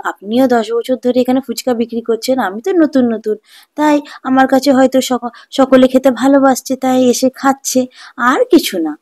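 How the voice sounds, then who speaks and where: A woman's voice: sits high, dark tone. A woman voicing a polite, trusting young man who runs a food stall in a children's cartoon, earnest and mild. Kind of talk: Bengali children's cartoon dubbing.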